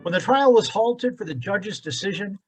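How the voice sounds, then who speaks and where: A man's voice: high voice, lively intonation, normal speed, a bit bright, breathy edge. A man delivering scripted narration in the style of an old-time radio drama.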